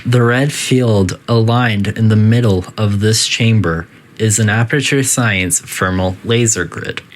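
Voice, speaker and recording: A synthetic voice playing a politely detached, quietly menacing artificial intelligence that makes formal, deadpan announcements in a video game test facility. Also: medium pitch, a little slow, lightly acted. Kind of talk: scripted game announcer narration.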